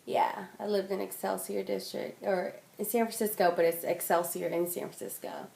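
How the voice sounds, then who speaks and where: A woman's voice: medium pitch, fairly even intonation, moderate pace, creaky, warm tone. Casual young woman in a roomy room. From Philippines. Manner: casual, chatty, with fillers like "um" and "like". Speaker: interviewee. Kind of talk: oral history interview. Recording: roomy echo.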